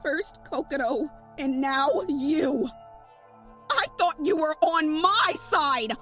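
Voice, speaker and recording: A woman's voice: sits high, slow pace, a bit bright, faint music behind. A woman playing a stubborn, easily hurt young woman in an audio drama, with emphatic, accusing delivery. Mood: furious accusing. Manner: emphatic, outraged outbursts.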